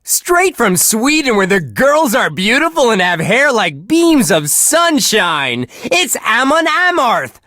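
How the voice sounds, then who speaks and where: A relentlessly cheerful death metal DJ for a video game radio station. He gushes in a bright, wholesome voice with lively swings of pitch, unhurried and recorded dry and close. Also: no echo, heavily acted. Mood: chirpy, enthusiastic. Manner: gushing, sunny, wholesome delivery. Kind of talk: upbeat radio host patter.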